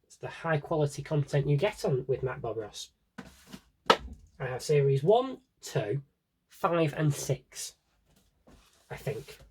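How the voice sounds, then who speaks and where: A man's voice: fairly high pitch, expressive, slow pace, pauses often, a little mellow, slight background noise, low-quality recording. A man talking casually and off the cuff as a vlogger, rambling with tongue-in-cheek asides. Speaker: vlogger showing an audio drama CD collection. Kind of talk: casual unscripted chat to camera.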